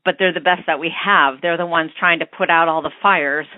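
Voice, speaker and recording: Female American caller, tinny phone line, dry sound, medium pitch, informal and halting, thinking through her opinions aloud. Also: steady tone, no room sound. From United States. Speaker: podcast listener leaving a voicemail. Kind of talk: informal spoken opinion message.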